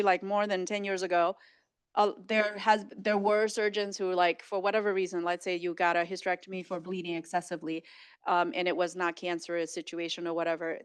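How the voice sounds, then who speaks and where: A woman doctor fielding questions from an audience, with a smooth, clear voice and a dry, close sound. She explains things plainly and casually, often checking in with a quick "right?". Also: medium pitch, no room sound. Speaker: physician and speaker. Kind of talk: Q&A answers at a health event.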